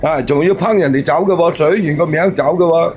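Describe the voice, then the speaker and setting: A man's voice: fairly high pitch, fast. A man, argumentative and scolding, giving his opinions in a phone-in debate. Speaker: caller giving commentary. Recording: faint noise and ambience behind.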